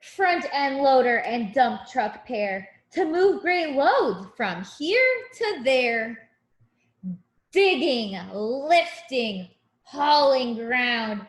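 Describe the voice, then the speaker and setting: A woman reading a picture book aloud to young children as a teacher, in an expressive, deliberate way with simple explanations. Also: high-pitched. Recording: echoey room.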